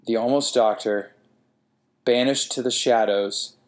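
A man's voice: mid-range voice, fairly even intonation, a little slow, natural voice. A man voicing the uneasy but defiant hero of a horror story, speaking his dialogue. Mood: afraid, accusing. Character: uneasy but defiant male protagonist confronting a monster.

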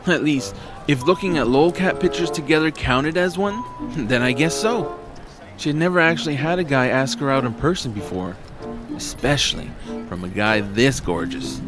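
A man's voice: mid-range voice, moderate pace. Male audio drama narrator over music.

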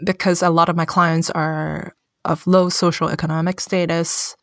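Soft-spoken woman with a Cantonese accent. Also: fairly low pitch, little pitch movement, normal speed, no echo, studio quality. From Hong Kong. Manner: thoughtful, searching for words, frequent fillers. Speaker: therapist. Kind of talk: casual conversation.

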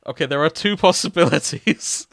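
A man's voice: a little high, moderate pace, a bit bright, no echo, clean studio sound. A man hosting a comedy podcast, reading fan fiction aloud as narrator with casual banter, prone to breaking into giggles.

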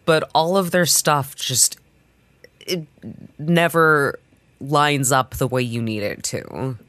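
A woman's voice: low voice, slow pace, a bit bright, dry recording. A woman co-host explaining game perks in casual chat, with a hesitant, dry way of talking.

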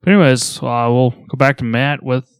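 A man with a clean, smooth voice at an average pitch. He co-hosts a podcast, talking casually and with understated humor. The recording is close and free of room sound. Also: normal speed, dry recording. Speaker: podcast co-host. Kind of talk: casual show rundown.

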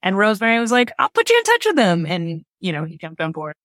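A woman's voice: medium pitch, wildly swooping pitch, quick pace, a bit bright, dry recording, clean studio sound. A woman giving casual interview answers as a director, telling things in an informal, anecdotal way. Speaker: interview guest, drama director.

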